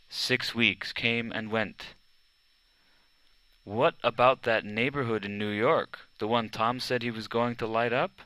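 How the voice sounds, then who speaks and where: A man narrating a children's biography from a script, in measured, deliberate storytelling phrases. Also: fairly low pitch, sharp tone, smooth, no echo, rough recording. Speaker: audiobook narrator.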